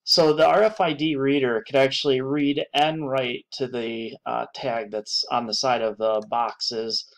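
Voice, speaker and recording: A man giving an unscripted screen-recorded software tutorial, thinking aloud with many pauses and restarts. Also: mid-range voice, fairly even intonation.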